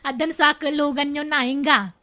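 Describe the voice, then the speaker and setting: A woman's voice: high voice, steady tone, slow pace, bright, forceful tone, exaggerated voice. A woman acting as a chatty wife in a conversational radio drama scene. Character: chatty wife of a working man.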